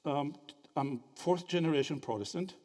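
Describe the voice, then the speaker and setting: A man from Greece giving a lively, interactive theology lecture and quizzing his audience with quick questions. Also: on the high side. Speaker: theology speaker at a symposium. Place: lecture hall. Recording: reverberant hall.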